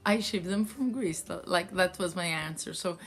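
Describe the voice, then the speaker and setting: A woman's voice: lowish voice, moderate pace, faint music behind. A woman from France, a yarn dyer telling a story in a casual vlog, rambling with many fillers.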